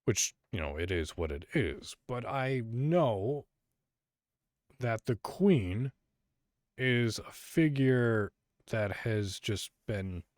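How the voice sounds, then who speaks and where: A man's voice: fairly low pitch, expressive, takes it slowly, dry recording, studio quality. A man from Illinois hosting a solo podcast, talking casually and rambling with frequent fillers and restarts. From Illinois, USA. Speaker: podcast host.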